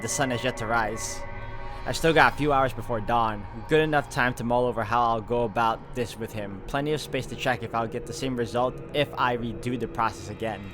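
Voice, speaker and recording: Man narrating a visual novel over music. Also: medium pitch. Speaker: video game walkthrough host. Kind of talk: casual commentary and reading visual novel narration aloud. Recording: music behind the voice. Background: game music.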